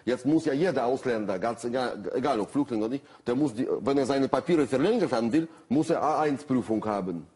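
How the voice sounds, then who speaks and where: A man's voice: mid-range voice, lively intonation, brisk, few pauses, darkish tone, slightly rough. A middle-aged man with a Russian accent, a guest writer on a talk show, speaking hesitantly with many fillers and dry humor. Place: TV studio with audience.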